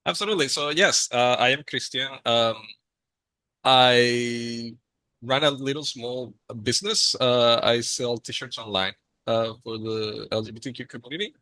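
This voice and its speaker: Man from Chile, podcast guest, bright mid voice, chatty with filler words, dry close mic. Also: medium pitch, unhurried, no room sound. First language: Spanish. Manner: friendly, frequent ums and uhs. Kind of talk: casual interview storytelling.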